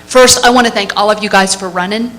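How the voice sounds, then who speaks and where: A woman from the audience asking the candidates a question, loud and friendly. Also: mid-range voice, quick pace, slight background noise.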